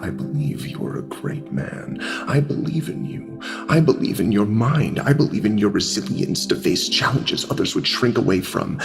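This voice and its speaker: A man playing a sinister talking pig in an audio drama, seductive and manipulative, with breathy persuasion that slips into whispers. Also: deep voice, full character voice. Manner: slow, breathy persuasive delivery slipping into whispers. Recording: music under the voice.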